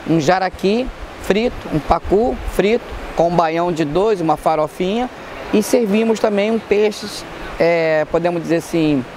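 High-voiced middle-aged man chef. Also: unhurried, a little mellow, slight background noise. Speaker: chef and restaurant owner. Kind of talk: on-camera interview. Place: outdoor square by a market.

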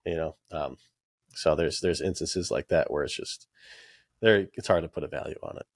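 Low-voiced man hosting a podcast chat. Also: normal speed, vocal fry, dry recording, studio quality. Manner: hesitant, many fillers and restarts. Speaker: podcast host. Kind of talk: casual interview chat. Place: small room with collectible shelves. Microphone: studio mic with headphones.

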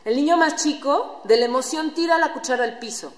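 High-voiced woman narrating in an echoey hall. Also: fairly quick. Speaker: narrator of a workshop radio play. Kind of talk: scripted storytelling narration. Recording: echoey hall with room noise.

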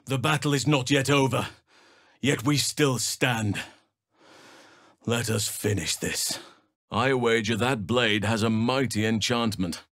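A man voicing a stoic, earnest druid devoted to nature in a video game, speaking in measured, formal fantasy phrasing. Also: medium pitch, a bit bright, no room sound, studio quality. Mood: resolute. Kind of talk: video game character voice lines.

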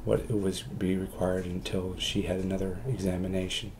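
A man in his forties reflects in a documentary interview, pausing and searching for words. His voice is low and dark, with a heavy creak. There is slight noise in the room behind him. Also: little pitch movement. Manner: halting, hesitant delivery with long pauses. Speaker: adoptive parent, interviewee. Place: room at home, indoors.

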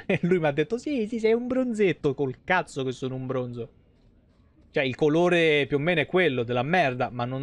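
A man, giving casual, joking, foul-mouthed live-stream commentary. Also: fairly high pitch. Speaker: video game streamer. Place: small home room, indoors.

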